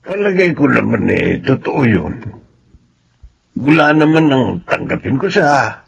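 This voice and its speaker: Casting: sympathetic household man, Filipino accent, gravelly lively delivery, radio drama, muffled noisy sound. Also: mid-range voice, measured pace, exaggerated voice.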